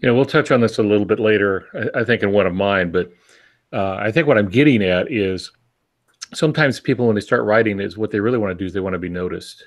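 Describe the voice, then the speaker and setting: A middle-aged or older man co-hosting a podcast, explaining things in a measured, reflective, conversational way. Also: fairly low pitch. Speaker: podcast co-host and experienced writer.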